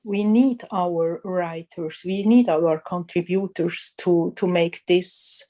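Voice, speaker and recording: A woman guest talking casually in an interview, thoughtful and halting, with frequent small laughs. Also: fairly low pitch, steady tone, warm tone. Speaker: magazine editor guest.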